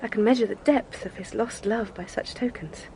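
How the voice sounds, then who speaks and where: An actress playing a sorrowful, gentle young gentlewoman in a period audio drama, earnest and wistful. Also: mid-range voice, normal speed, vocal fry, slight background noise, close to an everyday voice. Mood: wistful, confiding.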